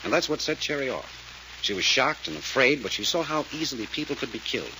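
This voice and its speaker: A man's voice: mid-range voice, animated, fairly quick, natural voice. An actor playing an emphatic, weary male detective explaining a murder case in an old-time radio mystery serial. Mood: grave, explanatory. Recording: noisy vintage radio recording.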